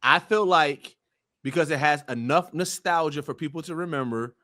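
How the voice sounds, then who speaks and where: A man with a fairly high voice talks casually as a podcast panelist, recorded very dry. He explains things eagerly and uses relaxed slang. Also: normal speed, no echo. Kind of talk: casual chat.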